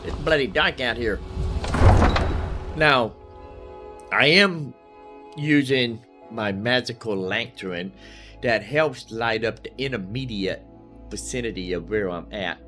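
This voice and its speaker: A man's voice: mid-range voice, slow pace, long pauses, bright, forceful tone, soft background music. A man hosting a casual game livestream, rambling in a folksy way and repeating phrases for emphasis. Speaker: live streamer, gaming host.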